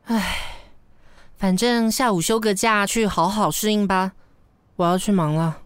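Actress as a breezy, blunt secretary, dry sound. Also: female voice, mid-range voice, fairly even intonation, a little slow, smooth, dry recording, natural voice. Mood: teasing, unfazed. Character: breezy, blunt female secretary with teasing frankness. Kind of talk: radio drama acting.

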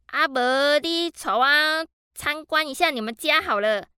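An actress playing a nosy, chatty middle-aged neighbor who sits on the building committee, talking with a Taiwanese Mandarin accent and lots of drawn-out fillers. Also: female voice, high-pitched, bright, forceful tone, dry recording, studio quality. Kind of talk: radio drama acting.

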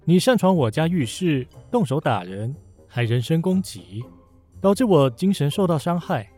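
A man voicing a cool young male company boss who teases calmly and dryly. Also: on the high side, moderate pace, soft background music. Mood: teasing. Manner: dry, teasing, calm. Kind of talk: audio drama acting.

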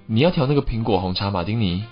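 A man's voice: fairly low pitch, lively intonation, fast talker, lightly acted. A man playing a wry, bossy, long-suffering bartender in an audio drama, with a Taiwanese Mandarin accent. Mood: teasing. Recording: slightly dull audio with music.

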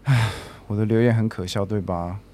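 A young man with a Taiwanese accent acting a shy, earnest, halting student in an audio drama. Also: on the low side, fairly even intonation, warm tone, slight background noise, natural voice. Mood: shy longing. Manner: halting, awkward. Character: shy, awkward, earnest lovesick male student.

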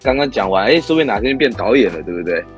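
A man's voice: on the low side, expressive, very fast, soft background music. A man hosting a casual interview show, encouraging and playful, murmuring agreement often. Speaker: host.

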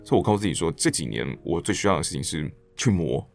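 A man's voice: sits low, animated, fast talker, vocal fry, soft background music. A man with a Taiwanese Mandarin accent, talking earnestly and reflectively as a director and actor being interviewed. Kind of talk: reflective casual interview chat.

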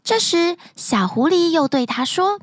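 A woman narrating a fairy tale as a bedtime story, gently and expressively, close to the mic. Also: very high-pitched, animated, unhurried, dry recording.

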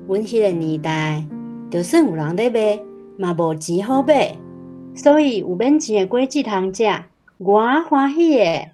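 A Taiwanese mother in a radio drama, looks back fondly on a poor country childhood. Her mid-pitched voice is very lively and expressive, with soft music behind it. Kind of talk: radio drama storytelling in dialogue.

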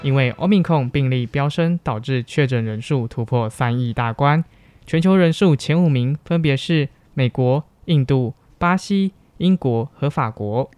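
A man's voice: medium pitch, normal speed, darkish tone, no room sound, soft background music. A young adult man with a Taiwanese Mandarin accent reading scripted student campus news.

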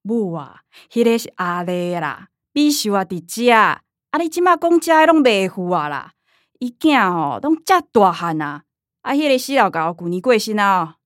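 A woman's voice: medium pitch, big pitch swings, moderate pace, no echo, studio quality, lightly acted. An actress playing a middle-aged mother in a radio drama, chatty and complaining, with a Taiwanese accent. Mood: exasperated. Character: middle-aged mother, coaxing with daughters, exasperated with grandma.

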